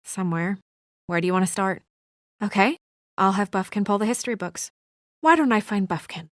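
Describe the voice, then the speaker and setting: A young female office assistant from a noir game, acted by a woman, brisk and guarded. Her voice sits at a medium pitch with crisp, no-nonsense, understated delivery. The recording is close and dry, free of room sound. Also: animated, dry recording, natural voice. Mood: businesslike. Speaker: video game voice actor. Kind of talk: naturalistic video game drama acting.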